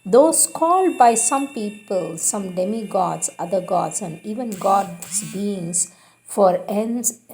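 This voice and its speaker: A woman reading spiritual teachings aloud in a devotional style, deliberate and emphatic, repeating phrases for emphasis. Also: mid-range voice, expressive, a little mellow. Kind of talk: devotional read-aloud with announced quotations.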